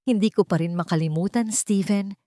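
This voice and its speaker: A woman voicing a soft-spoken, wounded young woman still hurting from a past love in a romance story. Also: mid-range voice, a little fast, no echo, studio quality, lightly acted. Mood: pained.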